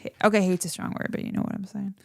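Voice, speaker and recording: A chatty woman in her thirties, a guest on a podcast, who laughs easily as she talks. Her voice has a gravelly fry at the bottom, and the sound is close and dry, with no room around it. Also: mid-range voice, flat delivery, fast talker, a little mellow, dry recording. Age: 30s. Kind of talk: casual chat.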